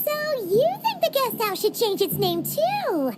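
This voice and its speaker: A tiny floating fairy companion in a game cutscene, with a very high, squeaky voice that leaps up and down. She is blunt and teasing and refers to herself by name. A faint orchestral score runs behind her. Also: huge pitch swings, over loud music, full character voice. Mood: chatty, teasing. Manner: talks about herself in third person, quick to tease.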